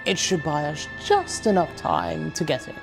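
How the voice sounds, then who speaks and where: A woman playing a cool, resourceful male British secret agent of wartime in a measured fan audio drama performance. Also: sits low, lively intonation, gravelly, music in the background. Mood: decisive.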